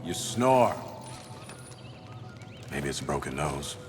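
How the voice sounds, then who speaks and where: Deep-voiced, wry human refugee. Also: male voice, very animated, slow pace, heavily acted. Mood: wry teasing. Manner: dry, casual teasing. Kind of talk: video game acting. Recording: music behind.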